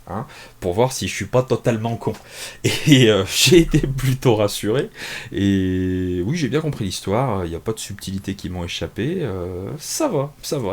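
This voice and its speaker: A man's voice: lowish voice, darkish tone. A man chatting casually and unscripted from his small home office, reviewing a comic, self-mocking, with many fillers and restarts.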